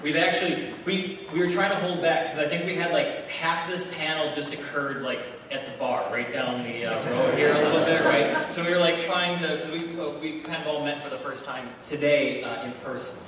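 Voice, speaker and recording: A man from Wisconsin moderating a convention panel, talking in a halting way with many fillers and restarts. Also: fairly high pitch, a bit bright, a little hiss or hum. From Wisconsin, USA. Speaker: panel moderator and bar owner. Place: large convention hall. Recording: echoey hall mic from far off.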